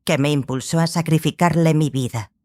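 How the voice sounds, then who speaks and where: A stern, scholarly sorceress played by a woman tells her story in a fantasy audiobook. She is grave and obsessive, with a measured delivery and deliberate pauses. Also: low voice, mellow, close to an everyday voice. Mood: reflective. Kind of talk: dramatized audiobook dialogue.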